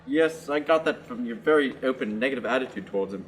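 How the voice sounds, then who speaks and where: A teenage student actor playing a patient, kind young adventurer in a radio play, steady and reasonable. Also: male voice, medium pitch, fast talker, lightly acted, low-quality recording. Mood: thoughtful. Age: teens. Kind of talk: amateur radio play acting.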